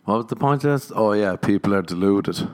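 Low-voiced man rambling, laughing. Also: expressive, fairly quick, darkish tone. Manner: rambling, swearing, laughs at own stories. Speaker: comedian and podcast host. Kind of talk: casual comedic monologue. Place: living in Los Angeles.

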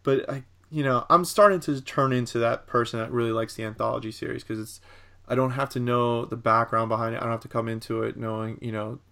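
Guy cohosting a casual podcast, mid pitch, gravelly fry, kinda halting and rambly. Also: steady tone, pushed and bright. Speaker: podcast co-host. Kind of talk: casual TV discussion.

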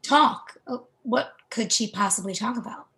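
A woman's voice: on the high side, moderate pace, a bit bright. A woman in a play reading, playing a stern, protective and reproachful sister. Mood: doubting. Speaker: actor in a staged play reading. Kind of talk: readers theatre acting.

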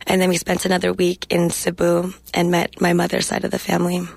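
A young woman guest speaking reflectively in a radio interview and reading her poetry in a measured way. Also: lowish voice, monotone, vocal fry, slightly rough, dry recording. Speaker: guest poet.

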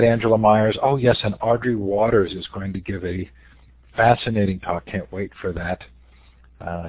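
A slightly hoarse man with a lowish voice hosts an online education webinar, running through announcements in an upbeat way with plenty of fillers. The sound is dry and thin, like a web call. Nothing in the background colors it. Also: dry recording, phone-quality sound. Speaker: host. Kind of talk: webinar interview introduction.

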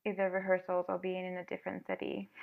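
Gravelly young woman on a tinny line. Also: fairly low pitch, completely flat delivery, fast talker. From Venezuela. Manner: hesitant, many ums, soft-spoken. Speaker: guest, flute player. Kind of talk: casual interview answers. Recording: phone-like narrow sound.

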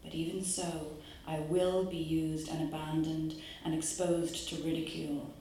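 An Irish woman from Dublin, a poet who talks her audience through her work in a musing way, pausing on fillers, then reads her poems aloud at a live event. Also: low voice, flat delivery, warm tone, a little hiss or hum. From Ireland. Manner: musing, with hesitant fillers between phrases. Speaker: poet giving a reading. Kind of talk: poetry reading with spoken introduction. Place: live reading venue. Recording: very echoey room.

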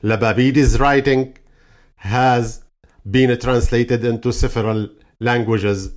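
A man hosting an interview, reading a formal introduction of his guest and asking questions deliberately. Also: medium pitch. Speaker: interview host.

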